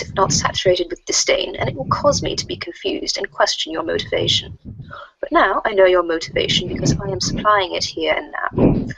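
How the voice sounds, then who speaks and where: A woman playing a formal, stiffly scheming female alien troll in a comic readers theater, speaking in wordy, deliberate sentences. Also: mid-range voice, vocal fry, noisy background. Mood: formal, explaining. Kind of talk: readers theater of web comic chat logs.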